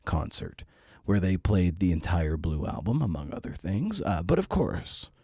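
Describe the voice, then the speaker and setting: A man's voice: sits low, sing-song, moderate pace, dark tone, husky, no echo, studio quality. A middle-aged man hosting a podcast in a conversational style, with a wry, self-deprecating manner. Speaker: podcast host and interviewer. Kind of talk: conversational podcast intro and interview.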